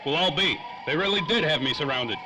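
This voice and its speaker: A man's voice: fairly high pitch, animated, brisk, natural voice. An actor playing a world-weary, wisecracking private eye in a 1930s radio play, full of slangy tough-guy talk. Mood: wry, tense. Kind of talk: old-time radio drama acting. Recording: faintly noisy old-time radio recording.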